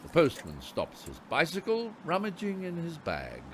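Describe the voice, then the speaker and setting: A man's voice: a little high, huge pitch swings, normal speed, background noise. A man playing the narrator of a radio play, telling the story in scripted, descriptive prose. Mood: descriptive storytelling.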